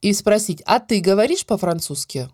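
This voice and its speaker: A woman teaching a French grammar lesson, explaining clearly and stretching out the example words. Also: mid-range voice, expressive, normal speed, darkish tone, dry recording, studio quality. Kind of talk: scripted grammar lesson narration.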